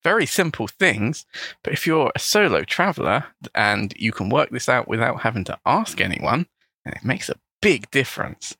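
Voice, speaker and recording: A man, co-hosting a casual podcast as a teacher, speaking in a relaxed, thoughtful way with small restarts. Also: fairly low pitch, gravelly, no echo. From England. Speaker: podcast co-host, English teacher.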